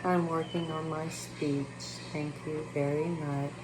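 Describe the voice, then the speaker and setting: A woman with a Texas accent speaking slowly and haltingly, with many fillers, first chatting about her channel and then reciting a prayer. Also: deep voice, little pitch movement. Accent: Texan. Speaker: spiritual channel host. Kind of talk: casual spiritual talk and spoken prayer. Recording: noticeable background noise.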